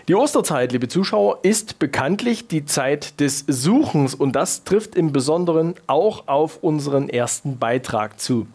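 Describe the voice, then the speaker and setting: Male news presenter, forties, mid-pitched, scripted studio delivery. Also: darkish tone.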